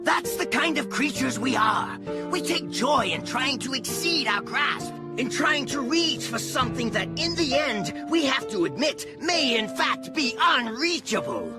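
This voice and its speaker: An actor playing an arrogant, eccentric scientist villain, lecturing with theatrical condescension. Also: male voice, very high voice, sing-song, unhurried, heavily acted. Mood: smug lecturing. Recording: loud music underneath.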